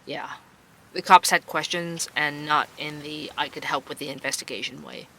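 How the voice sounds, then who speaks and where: Man playing a bright-voiced dogged investigative podcast host. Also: a little high, steady tone, moderate pace, gravelly, heavily acted. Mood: frustrated. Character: male investigative podcast host, dogged, weary young employee. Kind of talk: scripted podcast narration and audio drama dialogue.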